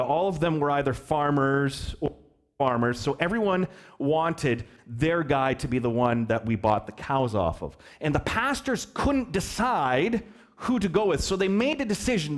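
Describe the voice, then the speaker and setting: A man preaching a sermon as pastor, telling a story in an animated, joking way and asking the children questions. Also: on the high side. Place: church hall.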